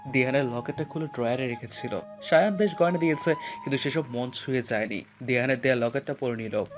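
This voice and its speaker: A man narrating romantic fiction as a scripted audio story, with expressive, emotional storytelling. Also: medium pitch.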